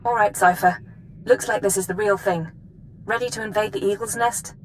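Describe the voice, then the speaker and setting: An actress playing a businesslike imperial intelligence handler in a video game, giving clipped, formal briefings. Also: female voice, medium pitch, steady tone, normal speed, a little hiss or hum, natural voice, poor audio.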